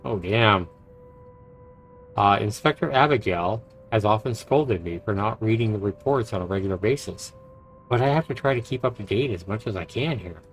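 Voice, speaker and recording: A man's voice: on the low side, animated, moderate pace. A man who streams games, reading visual novel narration aloud and reacting to it. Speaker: game streamer. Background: game music.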